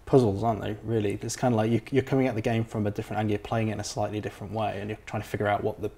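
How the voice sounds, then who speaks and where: Male presenter for a games studio video show, mid-pitched, quick delivery, playful and informal interviewer. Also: little pitch movement, vocal fry, dark tone, husky. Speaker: host of a game developer video series. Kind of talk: upbeat scripted intro and outro, casual interview between.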